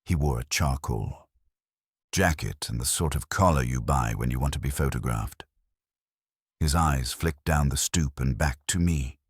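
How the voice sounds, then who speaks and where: A man's voice: extremely low pitch, measured pace, breathy edge, no room sound. A man reading scripted first-person detective fiction as a narrator, with a dry, wry delivery. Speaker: audiobook narrator.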